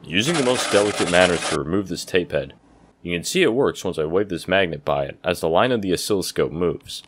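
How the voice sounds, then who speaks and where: Low-pitched young man, casual DIY narration. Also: lively intonation, a little fast, slight background noise. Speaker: DIY electronics video maker.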